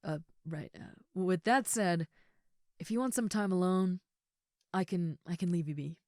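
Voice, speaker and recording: Audio roleplay: actress as gentle female ogre roommate. Lowish pitch, dry close sound, soft, shy, reassuring, casual delivery. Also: a little slow, no echo, close to an everyday voice. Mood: earnest, reassuring. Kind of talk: intimate comfort audio roleplay, addressed to the listener.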